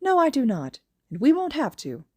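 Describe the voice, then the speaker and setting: A woman's voice: high-pitched, wildly swooping pitch, moderate pace, darkish tone, no echo, studio quality, voice pushed up. A woman voicing a small male animal principal, a cunning genius who speaks in a formal, wordy, cheerfully smug way in an audio story. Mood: smug, brisk. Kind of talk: audio fan fiction character voice.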